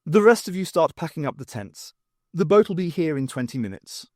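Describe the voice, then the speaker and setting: Man voicing a brisk, wry woman academic, animated. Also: on the high side, no echo, studio quality. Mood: brisk, instructive. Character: worldly woman archaeology lecturer, brisk, wry, flustered underneath. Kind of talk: audiobook character acting.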